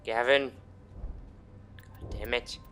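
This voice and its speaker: A man's voice: medium pitch, little pitch movement, takes it slowly, a bit bright. Profane, sarcastic man commentating over game music. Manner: profane, sarcastic, mock-indignant. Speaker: gaming video player and commentator. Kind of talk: casual let's play commentary.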